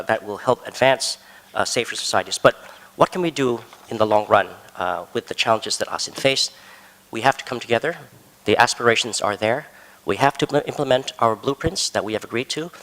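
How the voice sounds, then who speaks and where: A man's voice: medium pitch, fairly bright tone. Earnest man speaking on a panel. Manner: measured, earnest, stresses key words. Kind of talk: conference panel remarks. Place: large conference hall. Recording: long hall reverb.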